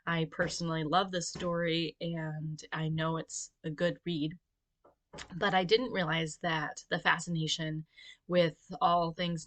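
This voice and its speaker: A homeschooling mother talking casually on her vlog in a measured, reflective and articulate way. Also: low voice, fairly bright tone. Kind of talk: casual educational vlog talk.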